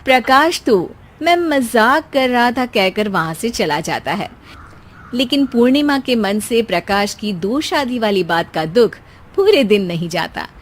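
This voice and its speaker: A woman narrating a cartoon moral story in calm, scripted storytelling. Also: mid-range voice, a little slow.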